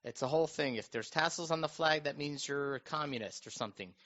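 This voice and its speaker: Earnest man talking politics, slightly high voice. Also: quick pace, fairly bright tone. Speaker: radio show host and school board member. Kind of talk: casual political chat. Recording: dull, muffled low-fidelity audio.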